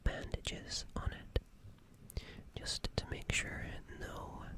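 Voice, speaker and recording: A gentle alien medic, played by an ASMR performer speaks in a slow, breathy whisper. The delivery is halting and apologetic, with phrases softly repeated as in a careful bedside roleplay. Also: natural voice. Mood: soothing, careful.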